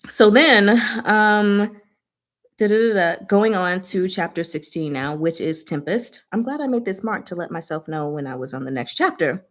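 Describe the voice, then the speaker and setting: A woman's voice: fairly low pitch, animated, normal speed. A woman casually recapping a novel in a book discussion, with a chatty, playful, giggly manner and paraphrased dialogue acted out in slang.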